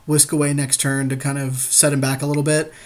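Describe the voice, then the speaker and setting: A man's voice: on the high side, flat delivery, brisk. A man casually commenting on a game with a partner, slangy and repeating phrases for emphasis. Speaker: podcast co-host and card game player.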